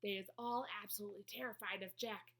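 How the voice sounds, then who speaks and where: A woman voicing a friendly, cautious male storybook giant who speaks in hushed tones with muddled grammar. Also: medium pitch, fairly even intonation, fast, vocal fry. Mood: hushed, explaining. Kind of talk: storybook character voice.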